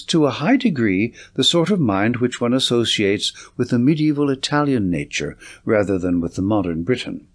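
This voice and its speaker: A man voicing a confident, commanding detective in an audiobook, speaking in an assured, articulate, lecturing way. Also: mid-range voice.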